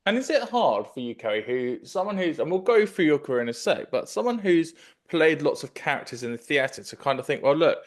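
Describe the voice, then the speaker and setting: A man's voice: a little high, very animated, brisk. A man hosting an interview podcast in a gushing, enthusiastic, chatty style. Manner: gushing, effusive. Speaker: podcast host. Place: home kitchen, indoors. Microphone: desk microphone, close.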